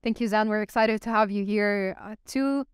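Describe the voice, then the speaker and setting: Smooth-voiced woman moderating a panel. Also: mid-range voice, moderate pace, dry recording, studio quality. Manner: engaging, polls the audience. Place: stage in a large event hall. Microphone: handheld mic.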